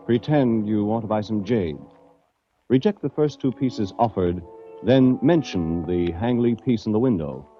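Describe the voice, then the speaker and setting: An actor playing a mysterious letter writer giving secret instructions in deliberate 1940s radio style. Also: male voice, on the low side. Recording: old narrow-band radio recording with music.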